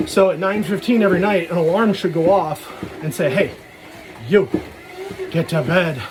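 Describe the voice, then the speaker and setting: High-voiced man rambling over clear background noise. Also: normal speed. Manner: self-deprecating, jokey asides. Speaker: vlogger. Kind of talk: casual rambling personal vlog. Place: talking while out walking.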